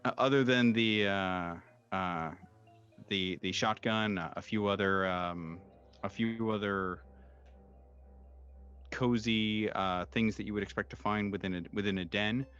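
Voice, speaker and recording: A man running a tabletop horror game, improvising his narration in halting, hesitant phrases as game master. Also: lowish voice, steady tone, fairly bright tone, smooth, highs cut off. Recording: music behind the voice. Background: background music.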